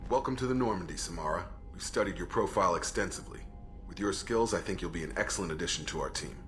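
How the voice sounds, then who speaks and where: Game role: ship's officer, low voice, brisk, courteous and official. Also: male voice, fairly bright tone, faint music behind. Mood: formal, courteous. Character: composed, formal male human officer. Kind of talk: video game voice acting.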